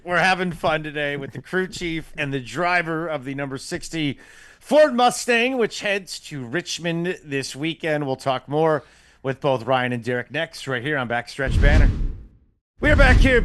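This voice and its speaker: Bright-voiced upbeat sports radio host. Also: male voice, fairly high pitch, clean tone, dry recording. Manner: upbeat, joking banter. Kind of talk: sports radio talk show.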